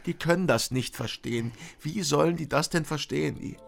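Troubled man, heavy vocal fry, halting, defensive, naturalistic radio drama. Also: on the high side, music in the background. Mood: desperate, tearful. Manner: halting, defensive, repeating himself. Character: troubled husband haunted by nightmares.

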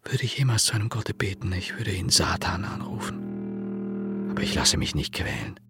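An actor playing a bitter, defiant homeless brother in a German radio play, declamatory and brooding with sudden outbursts. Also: male voice, deep voice, very animated, normal speed, music in the background. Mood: dark, brooding.

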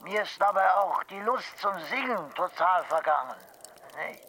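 A performer playing an aged, world-weary telephone voice come to life, with a gravelly sound, in a radio drama. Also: mid-range voice, lively intonation, normal speed, pushed and bright.

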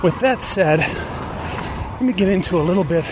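A man in his fifties hosting a personal podcast monologue, speaking deliberately in short phrases with pauses. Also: expressive. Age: 50s. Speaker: podcast host. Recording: noisy outdoor recording with traffic rumble.

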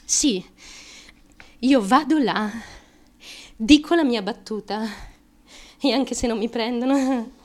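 An actress playing a desperate, naive young woman chasing fame in a theatrical radio monologue, confiding in a halting, obsessively repetitive way. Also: a little high. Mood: quietly determined. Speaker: stage actress.

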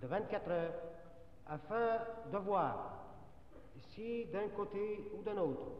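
A man addressing an assembly formally, in a slow and deliberate manner, in an old archival recording. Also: high voice, lo-fi sound. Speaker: delegate. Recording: archival 1947 broadcast from a large hall, distant.

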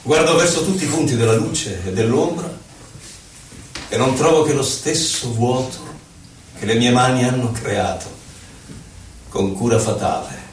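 A man gives a solemn, theatrical poetry recitation, declaiming in drawn-out phrases. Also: mid-range voice, expressive, a little hiss or hum. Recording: very echoey, distant stage recording with music. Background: prominent violin music.

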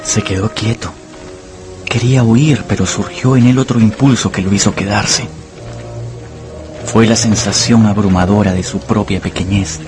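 A man's voice: fairly low pitch, fairly even intonation, normal speed. Male radio drama narrator over music. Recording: music under the voice.

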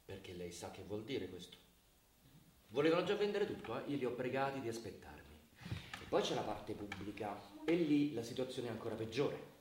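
A man's voice: medium pitch, very animated, fairly quick, close to an everyday voice. Man playing insistent town mayor, echoey and distant. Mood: measured pressure. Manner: insistent, tagging sentences with questioning prompts. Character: pressured, persuasive male town mayor, scheming and pleading. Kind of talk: naturalistic Italian radio theatre acting. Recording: echoey room, distant mic, faint noise.

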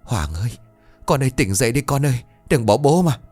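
A young father in an audio story, frantic and choked with tears, his voice cracking upward as he cries, as a male narrator puts him on. The voice runs loud and raw over a faint musical bed. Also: mid-range voice, very animated, a little fast, slightly rough, faint music behind, voice pushed up. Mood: sobbing.

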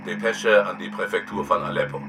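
An actor playing a cold, implacable official who reads out a government dispatch sternly and deliberately in a radio play. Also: male voice, deep voice, fairly even intonation, noisy background, lightly acted. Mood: cold, emphatic. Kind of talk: declamatory document reading in radio play. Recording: loud music bed over the voice.

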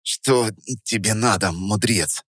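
Man playing a gruff, low, muttering young man. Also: animated, brisk, fairly bright tone, dry recording, studio quality. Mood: irritated. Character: grumpy young man, self-deprecating cook and hero. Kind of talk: audiobook character voice.

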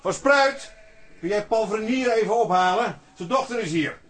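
An actor playing an officious, curt police officer reeling off formalities in a radio drama. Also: male voice, high voice, expressive, normal speed, fairly bright tone, slight background noise.

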